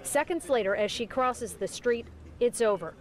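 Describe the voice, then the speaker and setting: A woman narrating a somber news report in a grave, measured way. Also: a little high, expressive.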